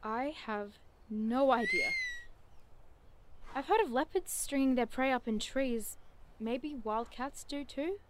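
A high-pitched, inquisitive young woman in an audio drama. She talks in precise, wordy bursts, keen and coaxing, like natural banter between road-trip companions. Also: very animated, takes it slowly, pauses often, background noise, full character voice. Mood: puzzled, concerned. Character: eager, curious young woman, nerdy cryptid-chasing road-tripper.

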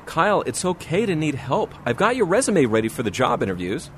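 An actor playing a caring, loyal old school friend who gently encourages, in a radio drama. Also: male voice, a little high, very animated, brisk, slight background noise. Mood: gently encouraging. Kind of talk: scripted radio drama acting.

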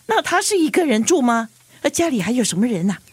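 A woman's voice: fairly high pitch, sing-song, fast talker, breathy edge, no room sound. An actress playing a meddling, matchmaking older mother in a radio drama, fussy, insistent and prying, with a Singaporean accent.

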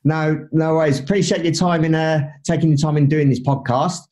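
A man's voice: fairly high pitch, fairly even intonation, brisk, a little mellow. A man hosting an interview podcast who introduces his guest and asks chatty questions full of fillers. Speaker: podcast host.

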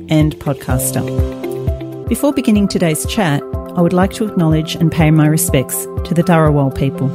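An Australian woman with a low voice reads a scripted podcast opening in a measured, formal way. Music plays clearly behind her. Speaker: podcast host.

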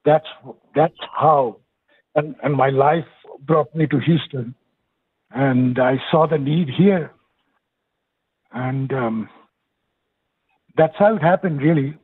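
A man's voice: on the high side, takes it slowly, long pauses, breathy edge, no echo. A man in his sixties from Bangladesh with a Bangladeshi accent, a nonprofit director calling in to an interview, speaking earnestly with hesitant restarts. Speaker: nonprofit executive director, guest. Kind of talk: casual interview over the phone. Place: calling in remotely. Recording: tinny phone line.

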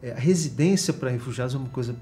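A Brazilian man, a guest professor, explaining in an interview with frequent pauses and restarts. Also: medium pitch, warm tone, a little hiss or hum. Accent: Brazilian Portuguese. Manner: explanatory, many fillers and restarts.

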